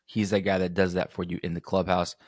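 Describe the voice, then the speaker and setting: A man with a slightly hoarse voice hosting a casual sports podcast, rambling and correcting himself as he goes. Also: low voice, little pitch movement, brisk, dry recording, studio quality. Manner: rambling, self-correcting, chatty. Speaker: podcast host.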